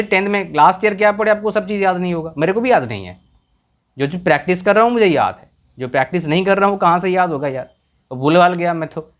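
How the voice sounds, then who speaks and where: A young man whose first language is a village tongue, giving earnest career advice in a casual talk, often checking if the listener follows. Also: a little high. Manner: earnest, repeats questions to the viewer.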